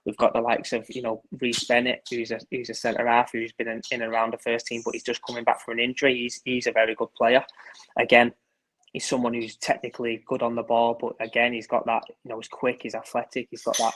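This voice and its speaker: Hesitant man, flat delivery. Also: medium pitch, rough recording. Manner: hesitant, repeats words. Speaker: guest pundit. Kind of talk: casual podcast chat.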